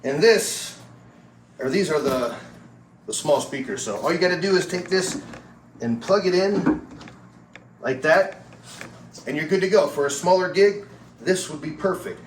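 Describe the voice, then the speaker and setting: A man's voice: fairly high pitch, a little slow, pauses often. A man giving a casual product review and demonstration in a plain-spoken, explanatory way. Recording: roomy, distant mic with background noise.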